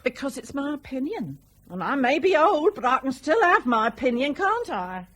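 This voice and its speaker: A nagging old mother in a radio drama. She is blunt and grumbling, with a fairly high voice that rises and falls freely as she scolds. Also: sing-song, normal speed. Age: older. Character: blunt, nagging elderly working-class mother.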